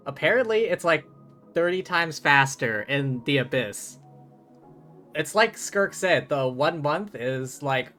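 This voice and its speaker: A man's voice: mid-range voice, sharp tone. A man streaming a video game, giving casual live commentary, musing aloud in a jokey way.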